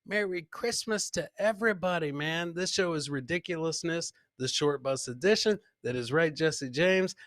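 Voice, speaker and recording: A man's voice: fairly high pitch, normal speed, hardly any pauses, fairly bright tone, no room sound, clean studio sound. A man hosting a live comedy podcast, giving casual, rambling commentary on clips and repeating his catchphrases.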